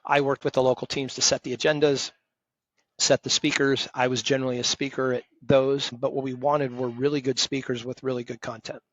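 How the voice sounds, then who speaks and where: A man's voice: mid-range voice, little pitch movement, brisk, dry recording, lo-fi sound. A man in his fifties, a retired firm partner, talking directly and emphatically as a guest in an interview. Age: 50s. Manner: emphatic, direct, self-described loud voice. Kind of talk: conversational interview.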